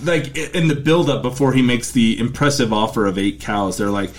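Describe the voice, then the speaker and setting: A man's voice: mid-range voice, brisk. A man co-hosting a casual podcast chat with his brothers, joking and acting out movie scenes in silly voices. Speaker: podcast co-host.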